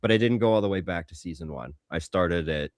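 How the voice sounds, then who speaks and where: Low-voiced, blunt man in dry sound. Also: fairly quick, dry recording. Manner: blunt, swears freely, acts out quoted reactions. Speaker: podcast co-host. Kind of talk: casual opinionated banter.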